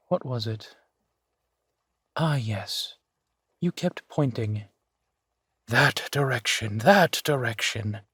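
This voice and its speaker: A man's voice: mid-range voice, no room sound, clean studio sound. A man voicing a suave, genteel vampire centuries old, speaking unhurriedly and formally to the listener in an intimate audio roleplay. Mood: teasing, amused. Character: suave male vampire, four centuries old, genteel, playfully amused host.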